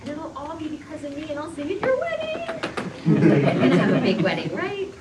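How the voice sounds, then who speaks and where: A woman's voice: high-pitched, wildly swooping pitch, brisk. An actress voicing an eager, nudging woman on a train platform in a sitcom table read. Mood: pushy. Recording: echoey, distant, loud hiss.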